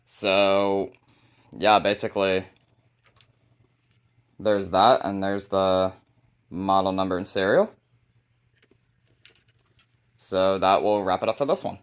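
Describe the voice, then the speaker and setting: Guy with a lowish voice doing a casual collection showcase for his hobby video. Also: fairly even intonation, slow pace, long pauses, clear, resonant tone.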